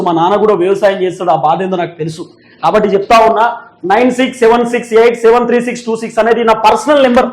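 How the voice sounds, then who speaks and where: A man's voice: sits high, low-quality recording. A young man with a Telangana Telugu accent presenting news commentary straight to viewers in an emphatic, repetitive, insistent manner.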